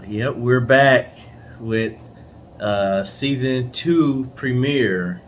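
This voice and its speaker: A man's voice: medium pitch, extremely slow. Laid-back man co-host, faint room noise. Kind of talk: casual chat and storytelling.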